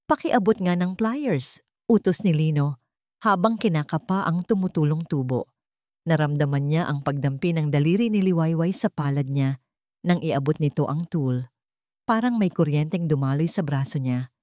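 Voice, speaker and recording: Filipino woman, lowish voice, scripted dramatic storytelling, very dry recording. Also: moderate pace, dark tone, no room sound. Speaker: narrator.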